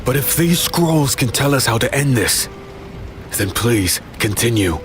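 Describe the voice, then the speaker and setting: A man playing a skeptical, defiant hero in a dark fantasy audio drama, intense and incredulous. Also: mid-range voice, lively intonation, measured pace, background noise, close to an everyday voice.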